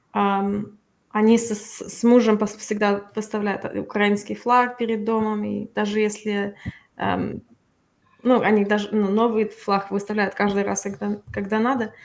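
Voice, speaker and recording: A woman interpreting casually on a video call, halting often as she searches for words. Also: medium pitch. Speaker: interpreter for a guest author.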